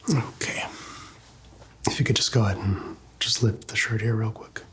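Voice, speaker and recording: Whispering male ASMR doctor, gentle and unhurried. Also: lowish voice, steady tone. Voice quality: hushed, whispery, breathy. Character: calm, reassuring male doctor examining a patient. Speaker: ASMR roleplayer playing a doctor. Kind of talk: ASMR medical roleplay, softly spoken to the listener.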